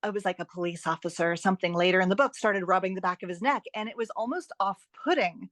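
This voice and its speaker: A woman speaks as an expert guest on a podcast, in a mid-pitched voice recorded close and dry. She explains things with emphasis and encouragement, often asking a question to drive a point home. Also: fast talker, a bit bright, dry recording. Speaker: guest author and editor giving advice. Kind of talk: podcast interview, explanatory talk.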